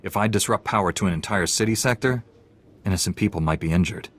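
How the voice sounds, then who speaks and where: An actor playing an earnest, composed young Jedi knight in a video game, speaking in a calm, principled way. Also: male voice, low-pitched, quick pace. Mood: calm, firm. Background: music.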